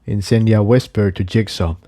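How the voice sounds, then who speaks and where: A man's voice: lowish voice, steady tone, moderate pace, mellow. A man narrating an adventure story from a script. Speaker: narrator reading fan fiction.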